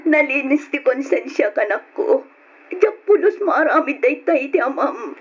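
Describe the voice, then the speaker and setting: A woman's voice: high-pitched, lively intonation, normal speed, slight background noise. A woman playing a wronged, protective mother in old radio drama style, pleading and emotional, on a muffled recording. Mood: sorrowful, gentle.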